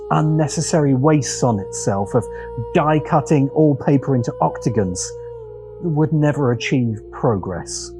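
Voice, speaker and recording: A man narrating a scripted video essay as host, with deliberate, dramatic phrasing and pauses. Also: mid-range voice. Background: background music.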